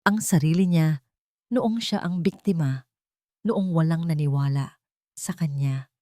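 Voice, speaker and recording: A woman's voice: low voice, measured pace, mellow, no room sound. A woman reading dramatic scripted narration as an audiobook narrator.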